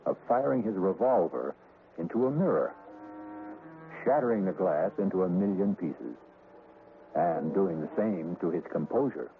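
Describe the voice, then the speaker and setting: A man's voice: deep voice, animated, moderate pace, pauses often. A man giving grave, scripted dramatic narration as narrator of a 1970s radio mystery. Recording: muffled old radio recording with noise.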